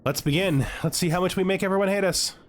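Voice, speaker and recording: A man's voice: high voice, fast, a little hiss or hum. A man narrating a video game playthrough casually, thinking aloud and reading on-screen text as he plays. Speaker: gaming video host, player narrating a playthrough. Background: music under the voice.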